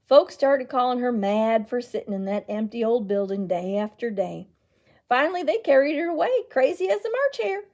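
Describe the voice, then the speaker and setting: A woman's voice: a little high, sing-song, moderate pace, darkish tone. A woman voicing a feisty, wistful old aunt in a storybook, with a folksy, emphatic way of talking. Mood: wistful storytelling. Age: older. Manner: folksy, emphatic, scolding and tender by turns. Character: feisty, indignant, wistful old aunt who loves books.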